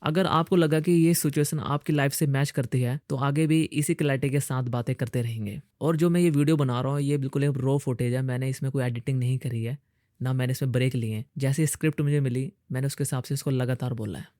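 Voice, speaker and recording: Mellow man explaining step by step. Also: mid-range voice, fairly even intonation, fast, dry recording, clean studio sound. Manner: methodical, point-by-point, with English terms mixed in. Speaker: host of a speech-coaching video series. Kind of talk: scripted explainer spoken straight to viewers.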